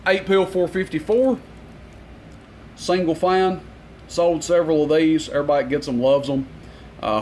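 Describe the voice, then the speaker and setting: Male seller, mid-pitched, informal and matter-of-fact inventory presentation, rambling technical talk over audible background noise. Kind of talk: informal product rundown to camera.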